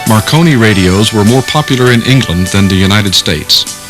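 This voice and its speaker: A man's voice: lowish voice, expressive, moderate pace, music in the background. A man reading scripted documentary narration.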